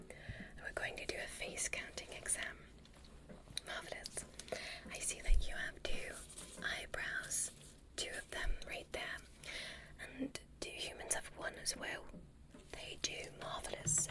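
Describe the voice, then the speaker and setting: A woman playing a gentle, curious alien doctor in a whispered ASMR roleplay, breathy and soothing, softly giving instructions. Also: on the high side. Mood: curious, delighted. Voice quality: breathy, mostly unvoiced whisper. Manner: soothing, softly instructive, repeats short praise. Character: gentle curious alien medical examiner, female. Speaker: ASMR performer.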